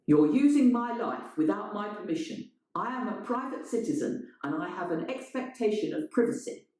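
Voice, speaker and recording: A woman's voice: medium pitch, lively intonation, normal speed, mellow, pitched above the performer's own voice, lightly acted. A woman voicing an angry, sneering man in a dramatised short story, indignant and accusing. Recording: strongly echoing, roomy sound.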